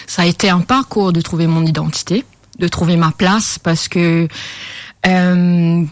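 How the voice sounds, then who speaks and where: A woman's voice: lowish voice, fairly even intonation, unhurried, no room sound. A woman from Mauritius, a guest on a radio show, talking hesitantly with many fillers and a few English words mixed in. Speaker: guest, grief recovery specialist. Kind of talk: radio interview. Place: radio studio.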